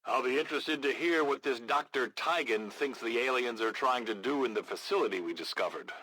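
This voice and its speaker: An actor playing a gruff, blunt, battle-hardened resistance leader in a video game, with a gravelly voice and a measured, grave manner. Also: male voice, lowish voice, fairly bright tone, no echo, poor audio. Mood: grim, musing. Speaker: military faction commander in a video game. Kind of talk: scripted video game voice acting.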